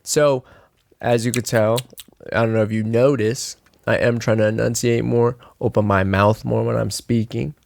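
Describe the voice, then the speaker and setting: A man's voice: mid-range voice, fairly even intonation, normal speed, gravelly, darkish tone, no room sound. Nasal young man, dry podcast mic. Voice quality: nasal, throaty. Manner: joking, self-mocking, rambling with fillers. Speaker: podcast co-host. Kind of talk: casual chat.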